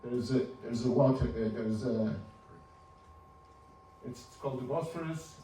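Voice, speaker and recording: Older man speaking slowly in a roomy hall. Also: mid-range voice, mellow. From Turkey. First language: Ladino. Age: 70s. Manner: halting, with restarts and chuckles. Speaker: speaker giving a personal history talk. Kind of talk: informal slide presentation. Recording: roomy, distant, faint hum.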